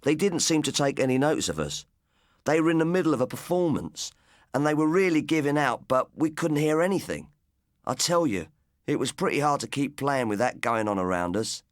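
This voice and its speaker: A British man voicing a haggard has-been rock and roll guitarist in a storybook, earnest, quiet and solemn. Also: a little high, sing-song, a little fast, dry recording, clean studio sound. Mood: solemn, haunted.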